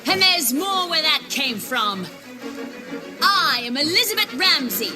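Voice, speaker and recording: A haughty pirate captain with a high, swooping voice. She drawls mockingly and unhurriedly, a video game villainess over loud music. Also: big pitch swings, unhurried. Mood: cocky threat. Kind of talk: video game cutscene acting.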